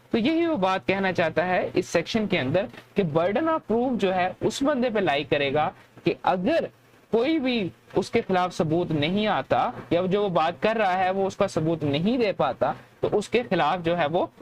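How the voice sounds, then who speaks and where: Slow-paced lecturer with a Pakistani accent. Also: medium pitch, a little hiss or hum. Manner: methodical, explanatory, switches between Urdu and English terms. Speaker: law lecturer. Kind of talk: explanatory lecture, reading statute and explaining it.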